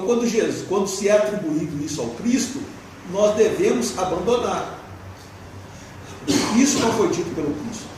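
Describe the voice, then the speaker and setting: A Brazilian man lectures at a spiritist gathering, laying out his points in a measured, explanatory way and asking rhetorical questions. His voice sits high and is picked up from a distance. The hall around him rings with a very strong echo and a faint hum of room noise. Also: darkish tone. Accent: Brazilian Portuguese. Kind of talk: religious lecture. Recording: very echoey hall, distant mic.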